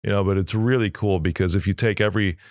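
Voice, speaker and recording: A man hosts a podcast interview, upbeat and encouraging toward his guest, laughing easily. His voice is on the lower side. The sound is close and dry. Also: steady tone, fast talker, a little mellow, no echo. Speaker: podcast host.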